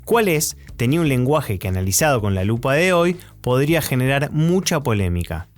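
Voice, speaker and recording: A man's voice: medium pitch, big pitch swings, a little fast, soft background music. A man with an Argentine accent delivering scripted documentary narration.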